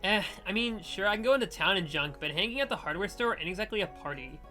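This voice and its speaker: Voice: medium pitch, animated, quick pace, sharp tone, soft background music. A woman voicing a bored, eager young adult woman in a visual novel, talking in slangy valley girl style. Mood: bored, frustrated. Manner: slangy, casual, perky.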